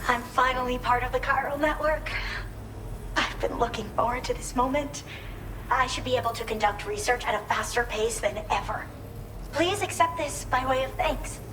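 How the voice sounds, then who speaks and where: Woman acting an eager high-voiced researcher. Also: moderate pace, background noise, natural voice. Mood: grateful, enthusiastic. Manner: enthusiastic, chatty, expository. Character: eager, friendly female researcher living in a shelter. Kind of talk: video game character dialogue. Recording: music behind the voice.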